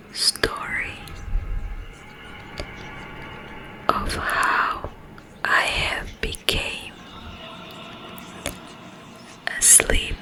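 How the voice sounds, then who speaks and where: A woman voicing a soothing yet menacing sleep paralysis demon in an ASMR roleplay, in a breathy whisper with halting, drawn-out phrases. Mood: eerie, intimate.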